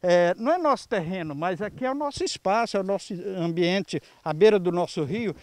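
Brazilian man of 61, slightly high voice. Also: animated, fairly quick, no room sound. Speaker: environmental volunteer leader. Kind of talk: interview answers. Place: outdoors in a grassy clearing. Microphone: handheld reporter microphone.

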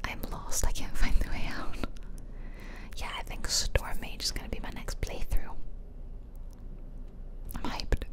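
A woman's voice: unhurried, long pauses. A woman whispering casual, musing ASMR commentary close to the microphone as she plays a game. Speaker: game streamer. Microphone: close microphone, ear-to-ear whispering.

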